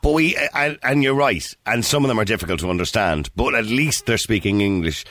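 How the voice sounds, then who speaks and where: A man's voice: lowish voice, expressive, brisk, fairly bright tone, no room sound. An Irish man hosting a talk radio phone-in, chatty and emphatic as he talks with callers in the studio. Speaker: radio talk show host.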